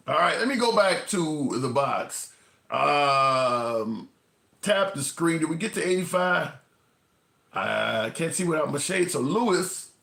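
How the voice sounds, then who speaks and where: A man's voice: high voice, pushed and bright. Blunt, profane man in an echoey room. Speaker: political commentator host. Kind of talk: casual political chat.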